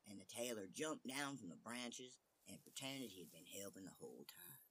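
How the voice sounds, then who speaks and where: A man narrating a fairy tale as an expressive storyteller. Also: on the low side, normal speed, a little hiss or hum.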